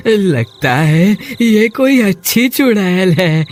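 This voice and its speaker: A man plays a naive village fellow in a cartoon horror comedy. He talks slowly and exclaims with melodrama, lovestruck and easily scared, over faint background noise. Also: sits high, very animated, full character voice. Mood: relieved, naive.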